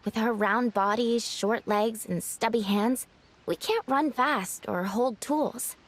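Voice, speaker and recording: A woman's voice: a little high, slow. A woman voicing a polite, helpful, small round Baku creature in a video game, explaining things earnestly. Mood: earnest, informative.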